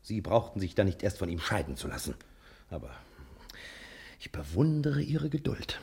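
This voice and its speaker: Husky, grumbling private detective. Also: male voice, deep voice, sing-song, moderate pace, darkish tone, heavily acted. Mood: grudging. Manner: sardonic, indignant, pompous. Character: put-upon, grumbling, self-important male private detective. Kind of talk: 1960 German radio crime drama acting.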